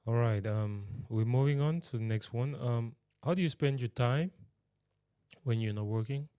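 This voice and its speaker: A man's voice: medium pitch, normal speed, mellow, clean tone. A man hosting a casual interview, easygoing and laughing along with his guest. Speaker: host.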